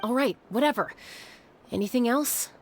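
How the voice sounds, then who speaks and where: An actress voicing a proud, tough, headstrong elder sister in a video game, speaking firmly and defiantly. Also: female voice, on the high side, normal speed, a little hiss or hum, close to an everyday voice. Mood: irritated. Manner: firm, defiant. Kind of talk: dramatic video game dialogue.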